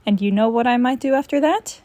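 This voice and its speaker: Woman playing nerdy male cat owner, earnest, dull, lightly high voice, comic storybook. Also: brisk, mellow, dry recording, lightly acted.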